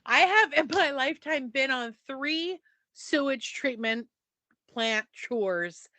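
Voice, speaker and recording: A middle-aged woman co-hosts a casual podcast, giggling and poking fun at herself. Her voice is bright and a touch high. The sound is dry and close. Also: lively intonation, slow, dry recording. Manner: chatty, giggly, self-deprecating. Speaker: podcast co-host. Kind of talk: casual chat.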